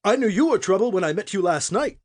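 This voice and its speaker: Casting: male narrator as ruthless woman director, high-pitched, commanding, short fuse, audiobook villain. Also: lively intonation, quick pace. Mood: cold menace. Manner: commanding, threatening, sharp. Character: menacing, short-tempered woman director with a gun.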